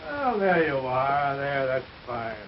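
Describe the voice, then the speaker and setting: An actor playing a grasping, patronizing quack doctor in a 1930s film melodrama. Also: male voice, mid-range voice, big pitch swings, slow pace. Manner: patronizing, salesman-like. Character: smooth-talking, grasping quack doctor, patronizing older man. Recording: dull, hissy 1930s film soundtrack.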